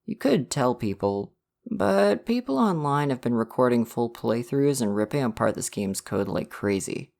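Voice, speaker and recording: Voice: mid-range voice, sing-song, normal speed, gravelly. A performer playing an affectionate visual novel boyfriend in an ASMR roleplay, earnest and reassuring. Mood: affectionate, slightly sheepish. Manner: soft-hearted, reassuring, earnest. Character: gentle, affectionate dating-sim boyfriend come to life. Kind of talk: ASMR roleplay, personal affirmation.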